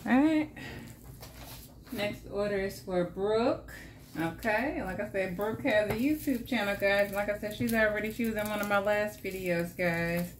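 A woman, chatting casually to her viewers while packing orders, animated and addressing them as "guys" again and again. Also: medium pitch, moderate pace, a little hiss or hum. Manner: animated, repeats "guys" and "like I said", laughs often. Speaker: budgeting vlogger and shop owner.

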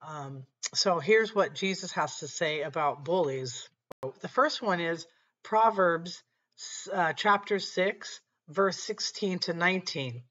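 A middle-aged woman with a slightly raspy voice, telling a personal faith story earnestly to her webcam at home. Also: on the low side, slow pace, a bit bright, dull audio. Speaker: channel host. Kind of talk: faith-based personal talk to camera. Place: small room at home, indoors.

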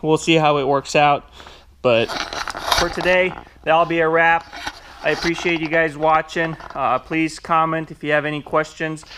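A man's voice: on the high side, little pitch movement, moderate pace, background noise. A young man giving an informal walk-through, thinking aloud into a handheld action camera in a large warehouse. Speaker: vlogger, small business owner. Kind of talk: casual walk-through vlog narration. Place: large metal warehouse, indoors. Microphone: handheld action camera at arm's length.

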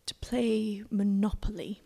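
An actress playing an awkward, secretive young daughter, speaking haltingly in an audio play. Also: female voice, medium pitch, little pitch movement, vocal fry, dark tone. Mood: nervous, hesitant. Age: young adult. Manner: hesitant, halting. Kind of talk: audio play performed on stage. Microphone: close stand microphone.

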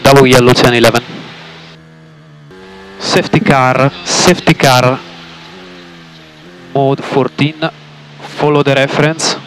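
A man's voice: medium pitch, steady tone, slow pace, pauses often, fairly bright tone, low-quality recording. Guy as race engineer on team radio, short clipped calls, repeats stuff, car noise all over it. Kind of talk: terse team radio instructions.

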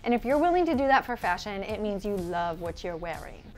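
A woman's voice: medium pitch, lively intonation, fairly quick, darkish tone. A young woman with an American accent co-hosting fashion commentary in a casual, gushing, emphatic way. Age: young adult. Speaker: fashion show co-host. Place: indoor studio set. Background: music.

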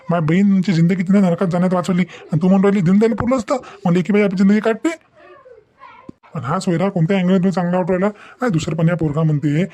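A woman acts out an indignant cartoon brother defending a sister. The speech is fast and confrontational, full of scolding. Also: on the low side, dark tone, voice pushed down, natural voice. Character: protective, angry adult brother defending his sister. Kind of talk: Marathi animated family drama.

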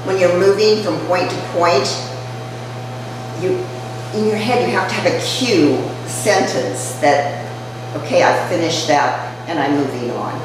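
An older woman giving a chatty public talk to a live audience, her voice dropping low as she speaks. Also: a little slow. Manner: conversational, chatty, jokes with the audience. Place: community event room. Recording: echoey hall, mic far off, noisy.

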